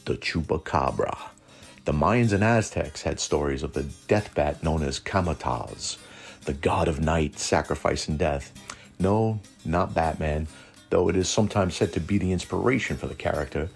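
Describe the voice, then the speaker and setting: Deep, gravelly man narrating. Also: moderate pace, soft background music. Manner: dry joking asides. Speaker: host and narrator of a lore show. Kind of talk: scripted narration.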